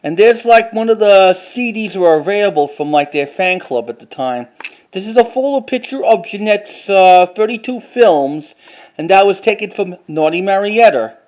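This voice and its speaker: A man with a halting, word-repeating way of talking, doing a casual show-and-tell like an enthusiastic collector. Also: sits high, expressive, darkish tone. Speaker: vlogger.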